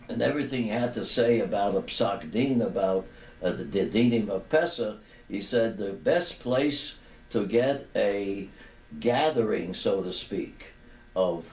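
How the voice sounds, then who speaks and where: A man over eighty, a rabbi reminiscing in a deliberate, halting way with pauses between phrases. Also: on the low side, a little mellow. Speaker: rabbi giving a personal remembrance. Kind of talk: unhurried reminiscing tribute talk. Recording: roomy, distant microphone, background noise.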